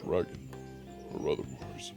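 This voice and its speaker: A man voicing a courteous, proud, centuries-old dragon in a fantasy audio drama, speaking formally and in a measured way. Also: close to an everyday voice. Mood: calm, formal. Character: ancient male dragon, four hundred years old, courteous, proud. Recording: music playing behind.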